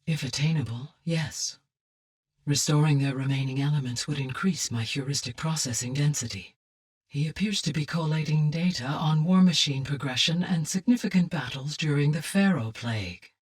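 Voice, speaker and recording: Female game AI, calm caring guide, formal precise phrasing, husky low voice, slightly distant. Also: heavily acted. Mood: measured, analytical. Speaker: game character, AI adviser. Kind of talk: scripted video game dialogue.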